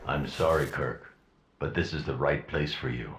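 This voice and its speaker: An actor playing a grim, consoling video game vigilante with a deep, gravelly voice and measured, stern delivery. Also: male voice, steady tone, fairly bright tone, echoey room, slight background noise. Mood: solemn sympathy. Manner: measured, stern but sympathetic.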